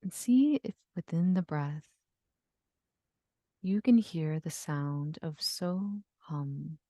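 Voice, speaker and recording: A woman leading a guided yoga nidra relaxation, giving gentle, soothing instructions with long pauses. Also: deep voice, no echo. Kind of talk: guided meditation.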